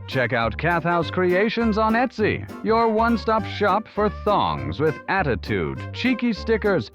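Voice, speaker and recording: A man announcing in a theatrical, scripted, showy style, like a presenter of a drama. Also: high voice. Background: music.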